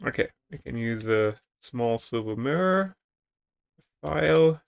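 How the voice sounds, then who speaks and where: Expressive man reading a text game aloud. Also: mid-range voice, a little slow, gravelly, clear, resonant tone. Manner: expressive, acting out dialogue. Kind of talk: dramatic reading of a text adventure with casual asides.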